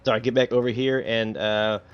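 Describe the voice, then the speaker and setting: A man's voice: lowish voice, steady tone, brisk, clear, resonant tone. Man at a game, slightly muffled audio. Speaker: tabletop game player. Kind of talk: casual game chat. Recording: dull lo-fi audio with music.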